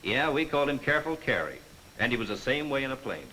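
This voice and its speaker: Animated wisecracking 1940s film narrator. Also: male voice, mid-range voice, quick pace, slight background noise, rough recording. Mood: wry, admonishing. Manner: slangy, wry, theatrical storytelling. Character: wisecracking folksy narrator figure named Joe telling cautionary tales. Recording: old 1940s film soundtrack, loud music behind.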